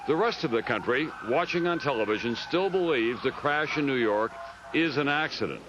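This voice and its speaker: American man narrating over clear background noise. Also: medium pitch, lively intonation, moderate pace, highs cut off. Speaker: news narrator. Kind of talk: scripted documentary narration.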